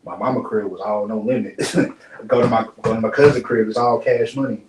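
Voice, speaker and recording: Brief: laid-back young rapper from Dallas, slangy and rambling, mic set back in an echoey room with faint hum. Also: male voice, lowish voice, steady tone, a little fast, darkish tone, far from the mic. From Dallas, Texas. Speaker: rapper, podcast guest. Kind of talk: relaxed interview chat.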